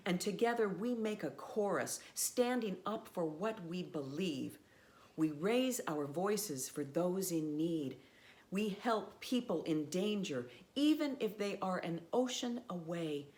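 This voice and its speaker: A woman pastor, reading a picture book aloud to children in an expressive, simple way. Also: deep voice. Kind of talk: storybook read-aloud, addressed to children.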